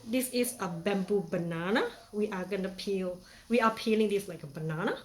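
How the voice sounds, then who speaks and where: A woman's voice: medium pitch, moderate pace. A young woman from Thailand with a Thai accent, chatting playfully through a casual how-to demonstration with many fillers. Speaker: vlogger.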